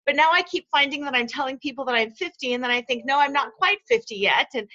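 A podcast host in her late forties chats agreeably with her guest. Her voice sits high and bright, and the audio sounds a little dull and lo-fi. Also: animated, fast talker. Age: 40s. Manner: chatty, agreeable, self-deprecating. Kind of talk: podcast interview conversation.

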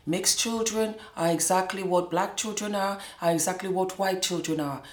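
A woman who grew up in Scotland, hosting a show and talking through her own experiences in an animated way, often breaking into laughter. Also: fairly low pitch, normal speed, echoey room. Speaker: host. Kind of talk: casual personal monologue.

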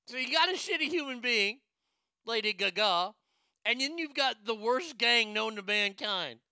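A man riffs on the news with sarcasm and plenty of swearing, and he laughs often. His voice is high for a man, very bright and smooth, with a gravelly edge. The sound is dry and close. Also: expressive, moderate pace, no echo. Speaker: commentary host. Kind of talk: comedic news commentary.

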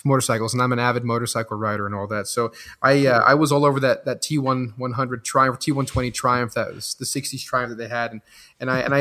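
A man's voice: medium pitch, sharp tone. Reflective man raised in Belgium. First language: French. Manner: reflective, rambling, many restarts. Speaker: guest. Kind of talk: casual podcast conversation.

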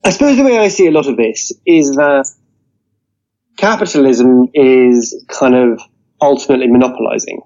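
Man, interview guest, earnest, argumentative, mellow, dull lo-fi sound. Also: mid-range voice, animated, moderate pace. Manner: earnest, argumentative, leans on "you know". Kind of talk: political discussion. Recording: dull lo-fi audio.

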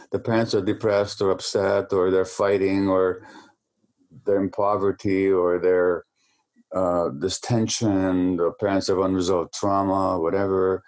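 A man with a very deep, mellow voice explains ideas at length in an interview. He talks like a lecturer, and when he restarts a thought he stumbles over the same word a few times. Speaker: interview guest.

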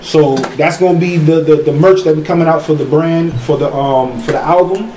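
Man, guest artist, rambling casual talk, fairly high voice, mellow tone, echoey room. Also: moderate pace. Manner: loose, rambling, repeats himself. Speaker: guest musician. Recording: echoey room with faint noise.

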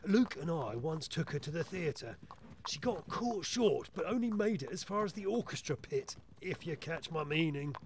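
Flustered, self-important inspector, animated and creaky. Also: male voice, fairly high pitch, a little slow, slight background noise, heavily acted. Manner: stammering, indignant. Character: flustered, self-important, exasperated male Victorian police inspector. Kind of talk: scripted audio comedy acting.

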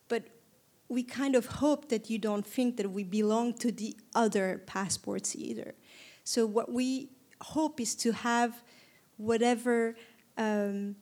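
A woman from Turkey in her thirties, speaking to an audience in a reflective way, with frequent pauses and restarts. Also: a little high, a little mellow. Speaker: panel speaker. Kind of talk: conversational talk to an audience.

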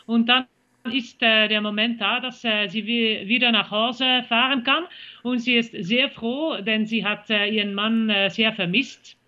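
A woman with a Belgian accent gives halting interview answers, stopping on fillers as she reaches for her words. Her voice is sharp and bright. The sound comes through dull and lo-fi, like a call line. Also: fairly high pitch, steady tone. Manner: halting, searches for German words with many fillers. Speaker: country singer, interview guest.

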